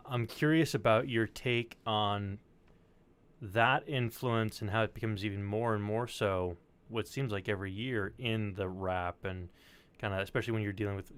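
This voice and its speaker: A man's voice: fairly low pitch, steady tone, normal speed, a bit bright, clean tone. A man co-hosting a casual music panel, putting questions and talking informally. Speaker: co-host asking questions. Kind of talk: casual panel chat, some swearing.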